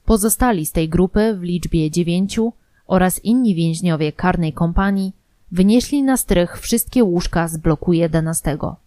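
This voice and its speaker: A woman's voice: on the low side, normal speed, dark tone, no room sound. A woman narrating a scripted historical true-crime audio programme.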